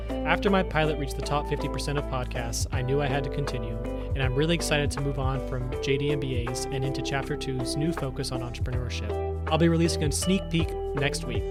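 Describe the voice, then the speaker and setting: A man hosting a podcast, reading an earnest introduction and then politely asking open interview questions. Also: mid-range voice. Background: music under the voice.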